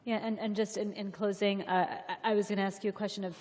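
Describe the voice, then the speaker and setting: A woman's voice: medium pitch, level tone, fast talker, a little mellow. A woman moderating a conference panel in a conversational, somewhat hesitant way. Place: conference hall stage. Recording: reverberant hall, faint audience noise.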